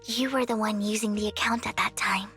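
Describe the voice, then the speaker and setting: A woman voicing a devoted, formal young woman in a video game cutscene, speaking in a hushed, breathy way. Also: mid-range voice, steady tone, a little fast, faint music behind, close to an everyday voice. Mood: whispered confession. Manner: hushed, breathy confiding delivery.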